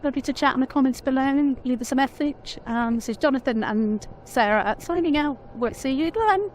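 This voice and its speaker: Chatty woman, quiet voice. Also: high-pitched, a little fast, slight background noise. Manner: chatty, laughs often, naturally quiet voice. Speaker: motorcycle vlogger, pillion rider. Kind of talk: casual chat.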